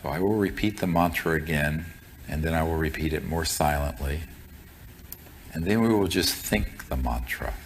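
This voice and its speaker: A man with an extremely low voice guides a group through meditation. His delivery is very slow and solemn, with deliberate, measured phrasing. Faint noise and room ambience sit behind him. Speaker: meditation teacher.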